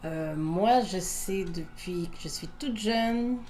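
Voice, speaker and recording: A woman in her fifties talks with a Québécois French accent, in a fairly low voice. She is casual and hesitant, stopping to search for the right words, over a faint noise floor. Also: slow, a little mellow. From Quebec. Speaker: documentary interviewee. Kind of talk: casual interview chat.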